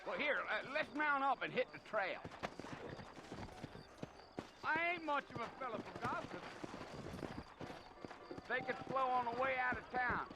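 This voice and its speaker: An actor playing a garrulous, friendly frontier trail guide with a folksy American Western drawl in a video game. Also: male voice, very high-pitched, lively intonation, unhurried, pauses often, rough recording. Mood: chatty, folksy. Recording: music behind the voice, horse hooves.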